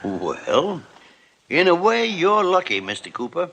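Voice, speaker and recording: A gruff old chief doctor. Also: male voice, high voice, very animated, unhurried, a bit bright, highs cut off, full character voice. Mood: reassuring. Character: gruff older male hospital chief doctor. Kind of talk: 1950s radio drama acting. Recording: old radio broadcast recording.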